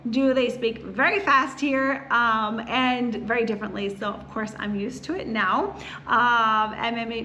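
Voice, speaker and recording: A woman's voice: on the high side, sharp tone, lo-fi sound, slight background noise. An American woman from the Philadelphia area hosting a casual chat, talking in a chatty, upbeat way with many fillers. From United States, Philadelphia area. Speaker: real estate host.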